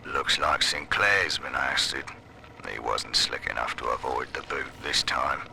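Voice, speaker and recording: An actor playing a cynical, self-serving prison boss, wry and callous, in a video game audio diary. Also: male voice, a bass voice, unhurried, gravelly, background noise, full character voice. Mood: smug, wry.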